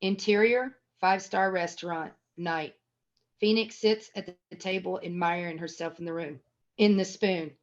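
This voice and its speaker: A woman's voice: on the low side, steady tone, normal speed. A woman narrating a screenplay at a table read, reading scene headings and stage action as scripted narration. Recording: dull, lo-fi sound.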